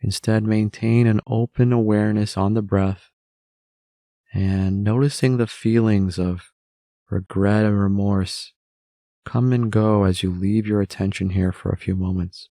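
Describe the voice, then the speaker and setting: A man narrating a guided meditation in a measured way, with long pauses between phrases. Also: lowish voice, steady tone, mellow, dry recording.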